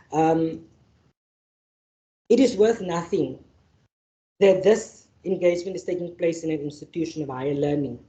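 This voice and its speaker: Woman, Afrikaans mother tongue, lowish voice, mellow tone, reads formal remarks with restarts, roomy hall. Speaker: panel facilitator. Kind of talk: formal speech and speaker introductions. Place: university lecture venue. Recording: echoey hall, dull sound.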